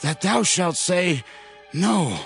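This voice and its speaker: An exhausted fugitive general in a biblical audio drama. He pleads slowly, his highish voice rising and falling widely over faint music. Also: animated, creaky, heavily acted. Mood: anxious instructing. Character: Sisera, weary thirsty fleeing army commander. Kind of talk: dramatized Bible reading.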